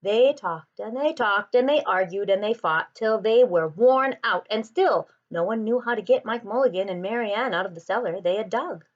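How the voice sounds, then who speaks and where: Animated woman reading a picture book aloud. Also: medium pitch, lo-fi sound. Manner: expressive, deliberate phrasing. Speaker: storybook reader.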